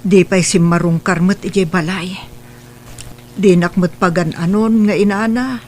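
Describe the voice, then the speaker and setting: Brief: man voicing a weary middle son, high voice, soft and tired, light hum. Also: steady tone, a little slow. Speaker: son in a family drama.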